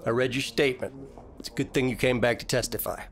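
An actor playing a steady, fatherly police captain in an audio drama, businesslike and plain-spoken with a gravelly voice. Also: mid-range voice, fast talker, close to an everyday voice. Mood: brisk, official.

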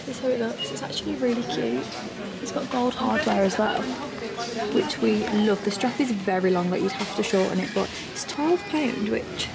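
A woman's voice: fairly high pitch, normal speed, creaky, a loud crowd. A young woman in her twenties, chatting and gushing about clothes as she films a shopping vlog on a handheld camera inside a large store. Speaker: fashion vlogger. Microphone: handheld camera mic. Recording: loud store background noise.

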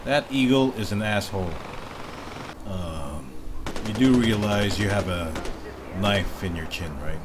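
A man's voice: on the low side, expressive, a little slow, pauses often, noisy background. A young man from Malaysia doing casual let's-play commentary, joking, swearing and laughing at the game. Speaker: gaming video host. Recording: music clearly under the voice. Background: game music and vehicle sounds.

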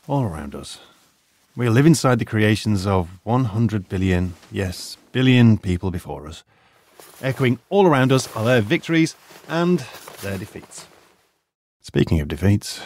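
A dry, formal British archaeologist turned schoolteacher, played by a man, with a lively, swinging pitch. His wit is wry and his manner a little stiff in this audio drama. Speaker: history teacher.

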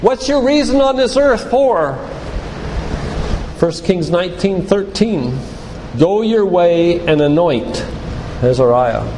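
An older man giving a sermon-like lecture with scripture readings, speaking in a deliberate, emphatic way with pauses. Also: sits high, animated, a little mellow. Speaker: physician giving a church lecture. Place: large church room, live talk. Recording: echoey hall with background noise.

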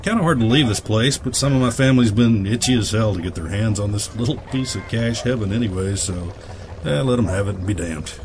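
An actor playing a weary, bitter old aviator turned farmer in an audio drama, folksy and brooding. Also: male voice, lowish voice, brisk, noisy background, lightly acted. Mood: gruff, resigned. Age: older. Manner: folksy, plain-spoken, brooding.

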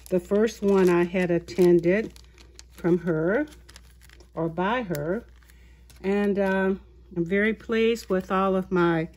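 A woman hosting a haul video of her craft purchases, talking in an unhurried way with many small pauses and fillers. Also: on the low side, mellow. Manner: slow, unhurried, halting with fillers. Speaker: craft channel host. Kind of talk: show-and-tell haul video. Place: indoors at a tabletop, voice-over of hands.

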